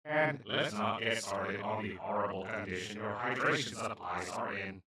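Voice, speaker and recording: A man playing a kind, awkward alien in a comedic audio roleplay, speaking to the listener in a gentle, earnest, apologetic and slightly formal, rambling way. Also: fairly low pitch, moderate pace. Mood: apologetic, concerned. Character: kind, awkward, well-meaning male alien abductor. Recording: roomy, echoing, distant-sounding voice.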